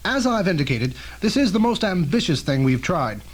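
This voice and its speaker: Man playing a high-voiced, earnest scientist. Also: big pitch swings, moderate pace. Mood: explaining. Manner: formal, precise, explanatory. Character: earnest, cautious male scientist, a doctor. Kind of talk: old-fashioned radio drama acting. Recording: noisy old tape with hum.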